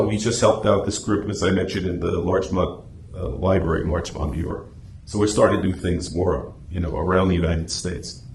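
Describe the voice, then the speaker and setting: Echoey, lower-pitched man telling anecdotes. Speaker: guest speaker, radio drama society officer. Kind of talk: informal club presentation and discussion. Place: club meeting room. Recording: echoey room, faint background noise.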